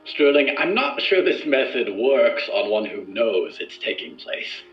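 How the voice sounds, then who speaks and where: An actor playing a formal, earnest male research subject in an audio drama, with measured, deliberate wording. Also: mid-range voice, very animated. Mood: measured, reserved. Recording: echoey room, muffled, music behind.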